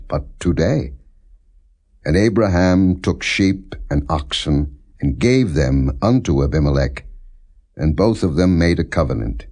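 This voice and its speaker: A man reading scripture as solemn, non-dramatized audiobook narration, in a measured, formal manner with deliberate phrasing. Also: sits low, a little mellow. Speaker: scripture reader.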